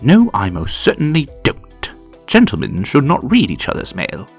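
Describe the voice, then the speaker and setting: A man's voice: mid-range voice, wildly swooping pitch, normal speed, gravelly, slightly rough, faint music behind, heavily acted. A man playing a proper, grumbling, tiny Lilliputian police sergeant in a comic dub. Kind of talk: comic dub character acting.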